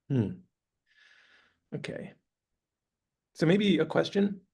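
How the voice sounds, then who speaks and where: A man giving a relaxed university lecture, thinking aloud with many pauses, clearing his throat as he loses his voice. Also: fairly high pitch. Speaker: guest lecturer. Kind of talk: academic lecture with questions. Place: university classroom.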